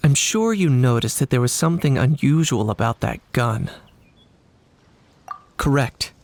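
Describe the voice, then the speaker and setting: Composed, courteous knight investigator. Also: male voice, mid-range voice, lively intonation, creaky, a little mellow, no echo. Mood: serious, analytical. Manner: polite, measured, analytical. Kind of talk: English game dub acting.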